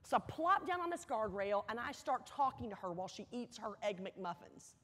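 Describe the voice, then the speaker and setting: A woman giving a motivational speech, telling a personal story deliberately, with dramatic pauses and emphatic repetition. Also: on the high side, sing-song, a bit bright. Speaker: keynote speaker. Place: large hall, speaking to an audience. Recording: echoey hall, long reverb.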